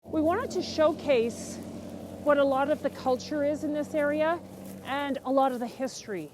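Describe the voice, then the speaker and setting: A woman's voice: sits high, normal speed. Upbeat business director woman, slight outdoor hiss. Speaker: business association executive director. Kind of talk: documentary interview.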